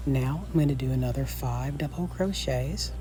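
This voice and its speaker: A woman's voice: a bass voice, normal speed, music in the background. A woman who is losing her voice, patiently teaching a crochet pattern step by step, repeating each step and counting stitches aloud. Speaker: crochet instructor. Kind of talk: step-by-step tutorial.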